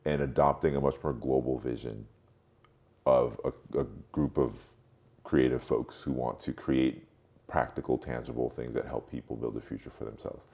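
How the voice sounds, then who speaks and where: A man in his late forties who grew up in Europe, speaking reflectively as an interview guest, haltingly, thinking aloud and repeating words. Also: rumbling low, fairly even intonation, gravelly, dark tone. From Europe, mostly France, born in Cameroon. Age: late 40s. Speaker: podcast guest, marketing strategist.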